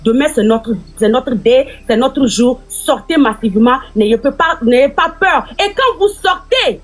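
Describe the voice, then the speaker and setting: A woman, an activist making an urgent, exhorting appeal to march. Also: high-pitched. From Togo. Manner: urgent, exhorting, repeats words. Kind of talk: rallying appeal.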